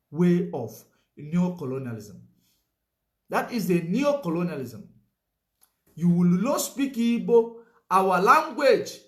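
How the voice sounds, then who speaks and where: A man's voice: sits high, fairly bright tone, echoey room. A man giving a political commentary monologue, emphatic, repeating his points and filling gaps with "you know".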